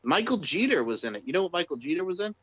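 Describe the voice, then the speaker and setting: A man's voice: high voice, very animated, quick pace, fairly bright tone, husky, no room sound, dull audio. An American man co-hosting a casual podcast, laid-back and given to swearing, reeling off film trivia. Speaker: podcast co-host.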